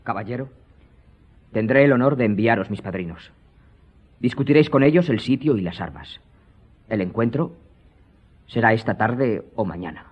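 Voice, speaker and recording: An actor playing a hot-headed, honour-bound young aristocrat in a radio drama, formal and insistent. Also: male voice, on the low side, lively intonation, moderate pace, pauses often, slightly rough, muffled recording. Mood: coldly offended.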